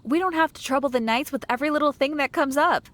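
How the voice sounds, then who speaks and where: A woman's voice: high-pitched, fast, a bit bright, a little hiss or hum. A woman playing a friendly, playful townswoman in a fantasy video game, chatty and gracious. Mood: appreciative. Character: friendly, teasing female shopkeeper in fantasy town.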